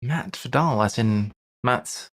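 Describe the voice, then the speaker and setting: A man streaming casually, thinking aloud in short, halting fragments as he works through puzzles. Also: mid-range voice. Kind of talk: casual live-stream chat while solving crosswords.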